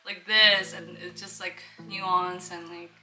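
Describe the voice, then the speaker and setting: A woman's voice: fairly low pitch, flat delivery, slow pace, pushed and bright, recorded from a distance. Chatty young Japanese-native woman host. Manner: chatty, mixes Japanese and English. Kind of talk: casual vlog interview. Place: home, indoors. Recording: echoey room with music underneath.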